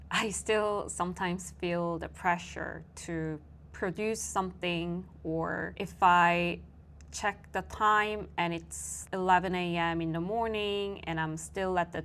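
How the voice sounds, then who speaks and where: A young woman whose first language is Korean speaks English with a Korean accent. She talks at an unhurried, slow pace in a somewhat low voice, musing aloud with soft fillers. It is a reflective personal monologue. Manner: thoughtful, soft-spoken, with frequent fillers and light laughs. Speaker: vlogger.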